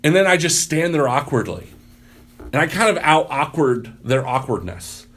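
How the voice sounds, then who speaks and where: A man's voice: fairly high pitch, moderate pace. A man who works as a pastor, telling stories, acting out what people said. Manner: animated storyteller, loud voice, acts out quotes. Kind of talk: casual podcast storytelling.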